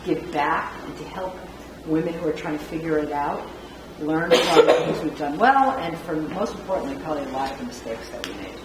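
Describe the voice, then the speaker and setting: Woman, panelist, earnest, very low voice, loud background noise, echoey room. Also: animated, brisk, few pauses, distant mic. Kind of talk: speaking at a panel.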